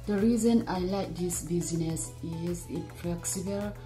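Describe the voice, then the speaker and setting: A woman speaks quietly in simple phrases. Her voice is low and very dark. Soft music sits behind. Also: unhurried. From Myanmar. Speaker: stay-at-home mom. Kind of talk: promotional testimonial.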